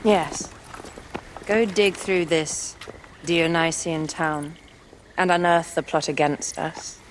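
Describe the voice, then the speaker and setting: Imperious young queen of Egypt, regal game drama delivery, clear noise behind. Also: deep voice, steady tone, takes it slowly. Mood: commanding, calm. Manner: commanding, regal. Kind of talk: cinematic game voice acting.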